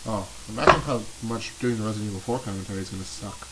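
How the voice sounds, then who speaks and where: A man's voice: fairly low pitch, very fast. A man doing casual let's-play commentary, joking crudely and laughing often. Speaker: gameplay commentator. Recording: steady sizzling hiss. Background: book-tapping noises.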